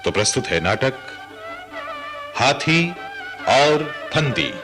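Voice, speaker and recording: A man formally introducing a radio drama as announcer, reading a script in a measured, deliberate way. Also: medium pitch, animated. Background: music.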